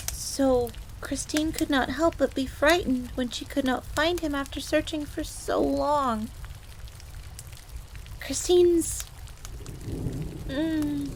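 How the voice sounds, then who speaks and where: A woman playing a proud, easily flustered fox-girl maid in a roleplay audio drama, speaking formally in the third person with stammers. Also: sits high. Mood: contrite, formal. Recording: steady rain noise throughout. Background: rain ambience.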